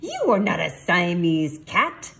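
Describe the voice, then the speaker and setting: A woman voicing an excitable little boy kitten who plays at being a Chihuahua, with playful mock-Spanish flourishes, in a storybook reading. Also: fairly low pitch, slow, gravelly, full character voice. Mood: bouncy, boastful.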